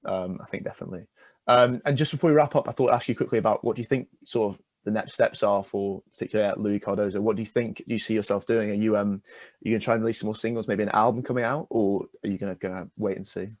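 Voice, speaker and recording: Male radio interviewer, young, quick pace, lowish pitch, encouraging, wordy tentative questions, dry close sound. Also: fairly even intonation, no room sound. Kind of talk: casual interview.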